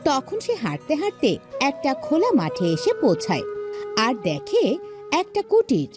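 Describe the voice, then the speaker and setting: A woman's voice: sits high, huge pitch swings, normal speed, music in the background. A woman narrator reading a scripted fairy tale.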